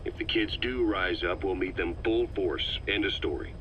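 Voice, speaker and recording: An actor playing a stern, hard-line military intelligence officer in a video game, measured, authoritative and accusing. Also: male voice, low-pitched, fairly bright tone. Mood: grave, measured. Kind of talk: video game audio drama acting. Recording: dull, hissy cassette tape recording.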